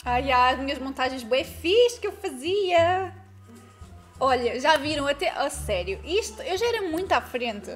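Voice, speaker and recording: A woman's voice: sits high, very animated, a little slow, faint music behind. A young woman with a European Portuguese accent, reacting to her own old video with teasing, self-mocking asides. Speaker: beauty vlogger. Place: indoor studio with pink backdrop.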